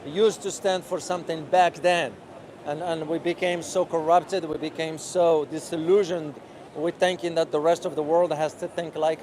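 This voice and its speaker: A man vlogging as he walks a city street outdoors, talking loudly and passionately while answering viewers' questions. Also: sits high. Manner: loud and passionate. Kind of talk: casual walking vlog answering live questions. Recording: crowded outdoor street noise. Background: street crowd.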